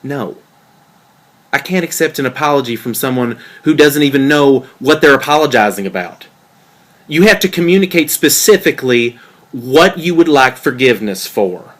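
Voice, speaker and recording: A man's voice: medium pitch, lively intonation, normal speed, close to an everyday voice. A man playing a jealous, hurt boyfriend in a dramatic table read, questioning in a pointed, sardonic way. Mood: hurt, insistent. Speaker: actor in a script table read.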